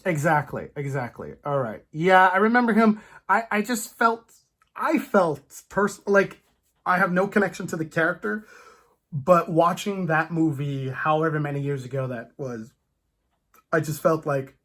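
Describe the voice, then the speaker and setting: A man chatting casually about films as a host, in an animated, rambling fan's way. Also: fairly high pitch, normal speed.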